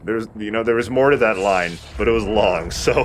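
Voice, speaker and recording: A man's voice: on the low side, moderate pace, a little hiss or hum. A man giving casual gameplay commentary as a let's play host, with jokey, sweary banter. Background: video game sound.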